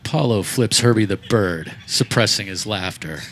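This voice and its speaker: Low-voiced man narrating live comedy. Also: normal speed, fairly bright tone. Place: backyard, before a live audience. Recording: live outdoor recording, faint background noise.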